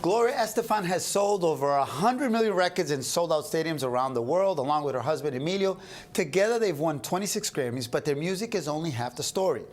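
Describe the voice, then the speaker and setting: A man's voice: a little high, expressive, fairly quick. A man hosting a TV interview, opening with a voice-over introduction and then chatting in a playful, upbeat way. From Cuban American. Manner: upbeat, playful, laughing along. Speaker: host.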